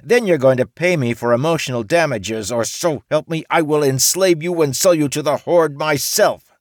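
A man voicing a brash female orc who used to be a man, loud and bossy. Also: medium pitch, sing-song, takes it slowly, dry recording, studio quality, voice pushed up. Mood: smug.

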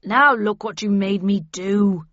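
A woman voicing a grumpy man in his fifties with curt, measured, growling speech in an audiobook. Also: medium pitch, steady tone, no echo, dull audio. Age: 50s. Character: gruff fifty-year-old deep-voiced measured man, grumpy and curt.

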